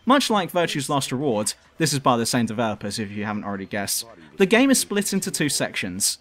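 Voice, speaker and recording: Lively man narrating a scripted review. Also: mid-range voice, brisk.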